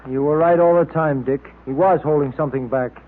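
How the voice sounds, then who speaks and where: An actor playing a breezy, plain-spoken detective's sidekick, slangy and informal, in old-time radio drama style. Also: male voice, a little high, fairly even intonation, brisk, natural voice. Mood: wry. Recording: tinny, hissy old-time radio recording.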